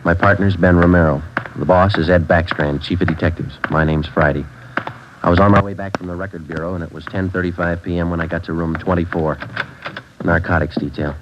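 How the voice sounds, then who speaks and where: An actor playing a terse, deadpan male police detective sergeant who also narrates, in a 1940s radio drama with an old, dull recording. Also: deep voice, brisk, background noise, close to an everyday voice. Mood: narrating. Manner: terse, deadpan, matter-of-fact.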